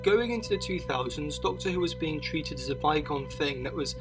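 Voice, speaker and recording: A man's voice: on the high side, lively intonation, fairly quick, music in the background. A man reading scripted narration for a documentary-style video essay.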